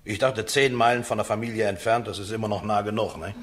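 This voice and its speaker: A man performs an accused man in a radio play. His voice sits on the low side, and he talks in a colloquial, halting way, defending himself like an ordinary working fellow. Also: a loud crowd, close to an everyday voice. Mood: frank, halting. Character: defensive accused man on trial, down-to-earth ex-salesman.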